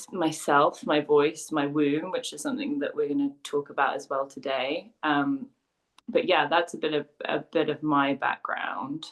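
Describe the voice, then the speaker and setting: Low-voiced woman, soft and reflective. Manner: soft, reflective, with small laughs. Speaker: sound healer and co-host. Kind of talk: personal story in a live online talk.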